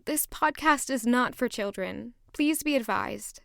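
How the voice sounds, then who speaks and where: A woman's voice: on the high side, unhurried, gravelly, a bit bright, no echo, clean studio sound. A woman announcer reading a scripted advisory. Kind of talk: scripted content warning.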